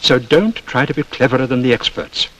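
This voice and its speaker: A British actor playing a knowing, patient but stern safety teacher, explaining and admonishing in a scripted public information film. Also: male voice, medium pitch, animated, fairly bright tone, poor audio. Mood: firm, admonishing. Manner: instructive, admonishing, measured explanations. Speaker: safety film narrator and instructor.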